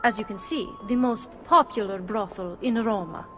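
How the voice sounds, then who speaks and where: An actress playing a proud, brisk Italian brothel madam in a dramatic video game scene. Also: female voice, medium pitch, music in the background, lightly acted.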